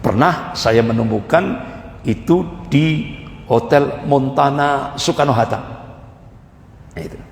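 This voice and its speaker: A man's voice: medium pitch, slow, creaky, long pauses. A man preaching to a congregation, emphatic and repetitive, asking rhetorical questions. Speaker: Islamic preacher. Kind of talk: religious lecture to a congregation. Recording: echoey hall with long reverb.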